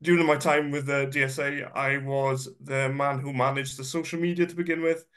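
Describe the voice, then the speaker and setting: A man's voice: mid-range voice, flat delivery, moderate pace, fairly bright tone. A man gives a chatty, self-deprecating personal presentation, sounding a bit nasal from a cold and using many ums and uhs. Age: 30s. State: congested, slightly nasal from a cold. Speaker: guest speaker.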